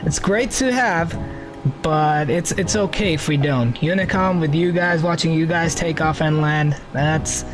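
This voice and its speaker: A chatty man with a slightly higher voice, running a flight sim stream and talking through each cockpit setting out loud. He breaks off to welcome and thank viewers warmly. Clear music plays under his voice throughout. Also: measured pace. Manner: chatty, thinks aloud while setting up the cockpit. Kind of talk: casual live-stream commentary.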